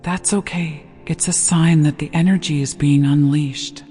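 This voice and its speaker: A gentle, hushed voice guiding a sleep meditation with slow, deliberate scripted narration. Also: medium pitch, fairly even intonation. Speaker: meditation guide. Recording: long reverb over background music. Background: meditation music.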